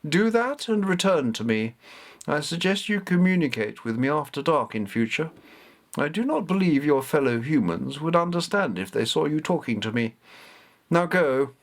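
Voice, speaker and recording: A man's voice: a little high, very animated, normal speed, pitched above the performer's own voice. A man voicing an ancient female cat goddess who speaks in a formal, commanding way.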